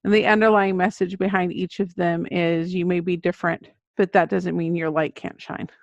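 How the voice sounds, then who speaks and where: A woman's voice: lowish voice, level tone, moderate pace, no echo. A middle-aged woman giving conversational answers as an author guest in an interview. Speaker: guest, children's book author. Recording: dull lo-fi audio.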